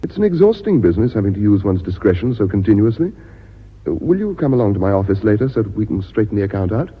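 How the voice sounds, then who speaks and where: An unflappable British company official in a radio drama, formal and dryly wry. His low voice is very husky and moves freely in pitch, on a dull, reverberant old recording. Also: sing-song, fast talker. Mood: weary, firm. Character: dry, weary, unflappable male company official.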